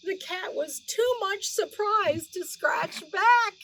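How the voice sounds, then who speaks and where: A middle-aged woman with a high-pitched voice reads a storybook to young listeners. She tells it expressively, stretching out words and slipping in chatty asides and gentle questions. A faint noisy ambience sits behind her. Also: slow. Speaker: host of a children's read-aloud show.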